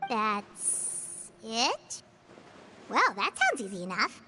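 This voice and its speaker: A woman voicing a tiny, childlike, naive and excitable fairy companion in a video game, with a squeaky, exclaiming delivery. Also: extremely slow, heavy background noise, heavily acted. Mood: startled, puzzled. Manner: squeaky, exclaiming, refers to herself by name.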